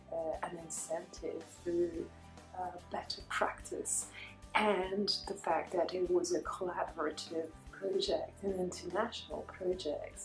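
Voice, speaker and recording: A woman, a teacher answering interview questions thoughtfully with many pauses. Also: on the low side. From France. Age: 30s. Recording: echoey room.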